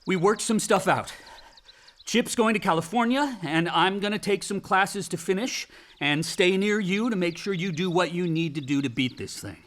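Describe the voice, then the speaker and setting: A man's voice: sits high, normal speed, fairly bright tone. An actor playing a brooding, angry grown older son in a naturalistic radio drama, blunt and informal in his talk. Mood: earnest, reassuring.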